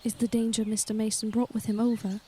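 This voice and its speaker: An actress playing a reserved, principled young woman in service in a period audio drama, measured, earnest and deferential. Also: a little high, level tone, dark tone, a little hiss or hum, natural voice. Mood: quiet concern. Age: young adult.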